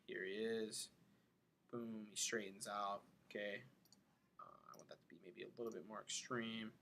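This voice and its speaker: Bright-voiced man narrating a casual tutorial. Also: lowish voice, flat delivery, normal speed, creaky, a little hiss or hum. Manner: informal, thinking aloud while demonstrating steps. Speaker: animation instructor.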